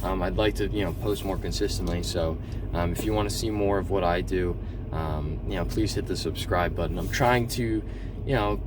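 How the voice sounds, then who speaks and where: A man talking casually with many ums and restarts, in a slightly monotone voice, recorded in a car. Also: low voice, moderate pace. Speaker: eBay seller vlogger. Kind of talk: casual vlog talk. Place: in a car, driving around town. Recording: distant mic, loud car and street noise.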